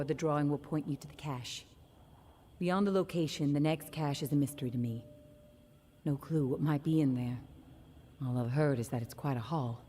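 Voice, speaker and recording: An actress playing a hardened, fiercely protective survivor mother in a video game, confiding in a blunt, deliberate way. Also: low-pitched, dark tone, a little hiss or hum. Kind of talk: dramatic video game monologue, recorded message.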